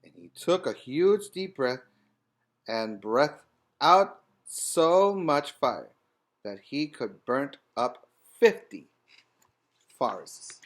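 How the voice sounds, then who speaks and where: A man's voice: a little high, sing-song, very slow, pauses often. A man reading a storybook aloud as a preschool teacher, with simple, instructive asides to the children.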